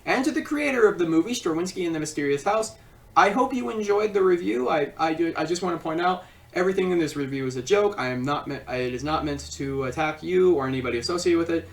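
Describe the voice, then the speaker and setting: An amateur reviewer hosts in a casual, wandering style, circling back over phrases and tripping on the wording. The pitch moves around a lot from phrase to phrase. The voice sits some way off from the microphone. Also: lively intonation, fast talker, far from the mic. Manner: rambling, repeats himself, stumbles over phrasing. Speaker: film review host.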